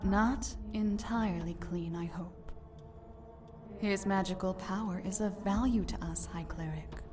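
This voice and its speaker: A woman playing an imperious, menacing vampiric goddess in a dark fantasy visual novel, languid and commanding. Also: medium pitch, measured pace, close to an everyday voice. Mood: silky, pleased. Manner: languid, commanding, breaking into whispers. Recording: music behind the voice.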